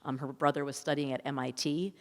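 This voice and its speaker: A woman's voice: extremely low pitch, fairly even intonation, a little fast. A woman speaking conversationally as a film director answering audience questions, telling stories informally. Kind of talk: festival Q&A, conversational storytelling. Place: large reverberant hall at a public event. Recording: echoey hall with long reverb.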